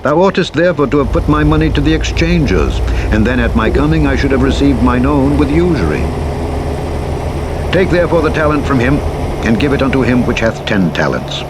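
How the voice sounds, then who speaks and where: A man giving a solemn, measured, formal and dramatic reading of scripture in archaic English, as a Bible narrator. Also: mid-range voice, expressive. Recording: played over clearly audible vehicle engine noise. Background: truck engine and road noise from a driving game.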